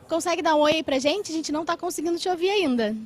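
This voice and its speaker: High-pitched Brazilian woman hosting. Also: fast, slight background noise. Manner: explanatory, polite, builds up to questions. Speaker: podcast host. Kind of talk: conversational interviewing.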